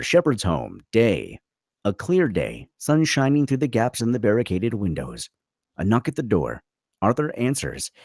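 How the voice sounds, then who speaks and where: Deep-voiced man narrating a screenplay. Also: darkish tone, no room sound, clean studio sound. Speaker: narrator reading stage directions. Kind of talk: dramatic scripted narration at a table read.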